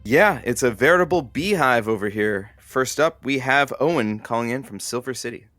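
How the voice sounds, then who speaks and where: A man's voice: mid-range voice, expressive, normal speed, a bit bright, faint music behind, natural voice. An actor playing a wry, fatherly, exasperated radio producer who grumbles and hesitates in an audio drama. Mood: dry. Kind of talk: naturalistic audio drama acting.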